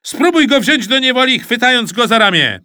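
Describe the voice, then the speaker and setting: A man's voice: a little high, sing-song, unhurried, bright, forceful tone, dry recording, studio quality, pitched above the performer's own voice, exaggerated voice. A man voicing a stern, exasperated school monitor who barks orders in an audiobook of a children's story. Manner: barking, exclamatory orders. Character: stern, exasperated male school monitor, red-faced and shouting.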